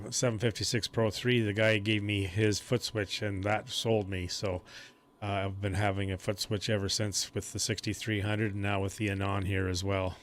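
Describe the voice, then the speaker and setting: A man's voice: on the low side, a little hiss or hum. A man who runs a home ham radio station, chatting casually and explaining things in long, loose sentences. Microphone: desk mic on a foot switch.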